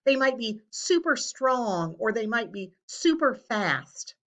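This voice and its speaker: A woman speaking as an elementary teacher, explaining a lesson to children in a deliberate way and stressing key words. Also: on the high side, big pitch swings, fairly bright tone.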